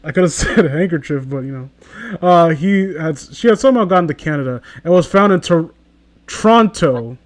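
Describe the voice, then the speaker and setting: A man's voice: on the high side, lively intonation, normal speed. A man hosting a comedy reading, commenting casually as he reads aloud, with a sarcastic, foul-mouthed manner. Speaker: host of a comedy reading channel.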